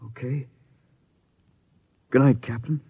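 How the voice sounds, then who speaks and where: A man's voice: medium pitch, steady tone, takes it slowly, vocal fry, husky, no echo, exaggerated voice. An American man playing an earnest, skeptical subordinate on a space expedition in 1950s radio drama, blunt and emotional. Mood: anxious, pleading. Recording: dull vintage radio broadcast recording.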